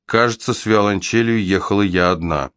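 A self-assured young woman musician from an audiobook novel, read by a man in his fairly low voice. The delivery is teasing and frank, and the sound is dry and close. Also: fairly quick, a bit bright, clear, resonant tone, dry recording, lightly acted. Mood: amused.

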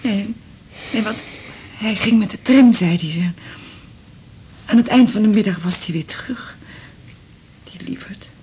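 An actress playing an anxious, bewildered middle-aged mother, fretful and halting, in a 1980s radio drama. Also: medium pitch, dark tone, a little hiss or hum, natural voice. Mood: grieving, distraught. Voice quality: breathy, husky. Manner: fretful, repeating herself, halting.

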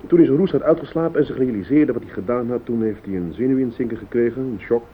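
An actor playing a composed private detective who questions politely and methodically in a radio play. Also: male voice, fast talker, lightly acted. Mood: grave, explaining. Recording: tinny old radio recording, hiss, rumble.

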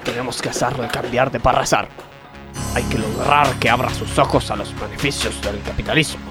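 A man acts a scheming workers' ringleader in an Argentine radio comedy. He is persuasive and grandiose in a mid-pitched male voice, like a plotter rallying his crew. Music plays behind him. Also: steady tone, brisk, lightly acted. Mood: conspiratorial, grandiose. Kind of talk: comedic radio play acting.

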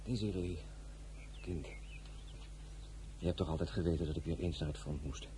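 An actor playing a French Revolutionary general and husband, authoritative and measured yet tender, in an audio drama. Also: male voice, low voice, steady tone, mellow, slight background noise, lightly acted. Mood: tender, firm.

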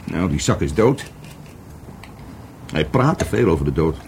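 A man's voice: low-pitched, sing-song, unhurried, a little hiss or hum, full character voice. An actor in a Dutch radio play playing a drunken, bitter divorced man who rambles, stammers and repeats himself. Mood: tipsy, gruff. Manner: stammering, repetitive, tipsy.

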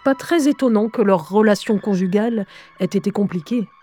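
A woman's voice: mid-range voice, normal speed, mellow, faint music behind. An adult woman giving an intimate, first-person scripted narration in French, as if recounting memories.

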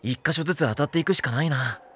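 A man's voice: fairly high pitch, quick pace, fairly bright tone, breathy edge. A man voicing a caring but wry teenage schoolboy in an anime audio drama, a casual straight man. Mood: concerned, reassuring.

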